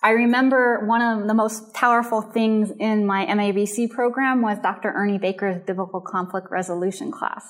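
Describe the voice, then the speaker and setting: A woman delivers a recorded teaching on counseling in an earnest, measured way. She explains each point step by step, at a middle pitch for a woman. Her background is Japanese and American. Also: steady tone. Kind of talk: recorded lecture.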